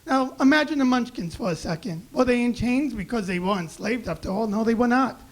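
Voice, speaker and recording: High raspy-voiced young man doing stand-up. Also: brisk. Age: young adult. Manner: self-deprecating deadpan delivery. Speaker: stand-up comedian. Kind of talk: stand-up comedy set. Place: comedy club stage. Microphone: handheld stage mic.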